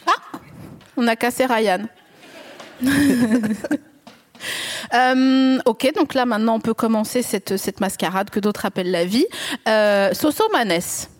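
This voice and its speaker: A woman with a fairly high voice hosts a live podcast. She is playful and teasing with her guest and laughs often as she talks. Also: takes it slowly, pauses often. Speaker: podcast host. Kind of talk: casual chat before a live audience.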